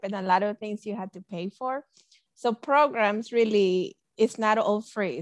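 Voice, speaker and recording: A woman from El Salvador speaks as a guest, earnest and conversational. Her voice sits a little high and sounds dry and close. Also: no echo. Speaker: librarian guest.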